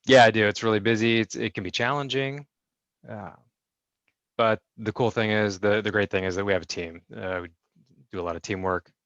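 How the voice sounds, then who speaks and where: Low-voiced man interpreting over a video call. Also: fairly even intonation, fairly bright tone, no echo, dull audio. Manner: measured, with frequent fillers and restarts. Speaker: sign language interpreter voicing a deaf guest. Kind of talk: first-person interpreting in an interview.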